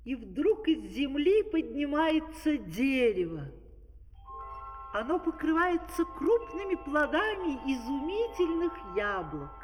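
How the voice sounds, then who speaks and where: A man acting as a measured, wonderstruck storyteller, reading scripted narration in a children's audio play. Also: extremely high pitch, big pitch swings, music in the background, heavily acted. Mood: wondering. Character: wonderstruck fairy-tale narrator, measured and vivid. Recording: 1970s record, distant, long reverb.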